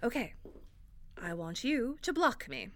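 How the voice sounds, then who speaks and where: A woman plays a stern, somewhat strained acting teacher in an audio drama. She has a bright, forceful, fairly high voice and gives clipped, dry instructions at a slow, measured pace. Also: sing-song. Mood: instructing. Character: strained, stern actress teaching stage combat.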